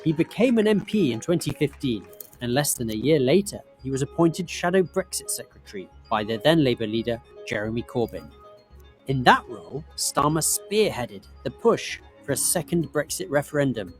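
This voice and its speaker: A man reading scripted explainer narration in a crisp, measured way. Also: medium pitch, big pitch swings, faint music behind. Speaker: documentary narrator.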